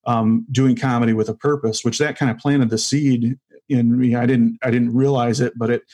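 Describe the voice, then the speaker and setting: A man's voice: mid-range voice, little pitch movement, normal speed, warm tone. A man in his forties, a stand-up comedian guest, chatting casually in an interview with many fillers and restarts. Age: 40s.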